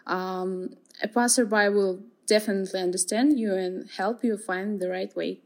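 Young woman, interviewee, calm and explanatory, mid-pitched. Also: normal speed. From Kazakhstan. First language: Kazakh. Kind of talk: answering interview questions.

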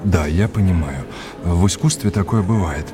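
An actor playing a courteous, erudite art lecturer in an audio drama, with an old-fashioned, didactic way of talking. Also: male voice, low-pitched, normal speed, crowd noise, close to an everyday voice. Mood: polite, curious.

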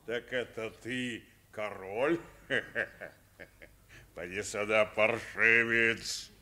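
A man playing a gruff, threatening, brutal father in a theatrical radio drama. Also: fairly low pitch, extremely slow, sharp tone, heavily acted. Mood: menacing. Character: gruff, bullying brutal father of a poor boy.

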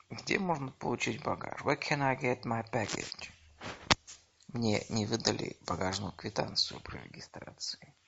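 A man teaching phrases, reading each line and then slowly saying its English version, with a husky, gravelly voice. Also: lowish voice, pushed and bright. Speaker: language teacher. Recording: dull lo-fi recording.

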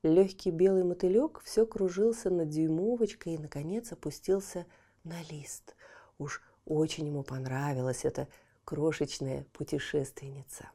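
Woman, children's audiobook narrator, gentle, expressive, hushed whispers, fairly low voice. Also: moderate pace. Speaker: storyteller.

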